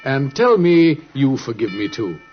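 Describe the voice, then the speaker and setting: An actor playing a shrewd, commanding British gentleman detective in an old radio drama, precise and theatrical. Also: mid-range voice, very animated, a little slow. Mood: reflective, warm. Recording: muffled vintage radio, music behind.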